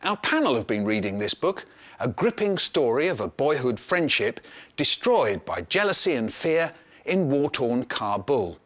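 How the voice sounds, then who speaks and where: A man hosting a book discussion, his pitch moving a lot as he introduces books and asks questions. He speaks in a lively, engaged way. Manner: lively, poses questions to panelists by name. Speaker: programme host. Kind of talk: presenting and chairing a book panel.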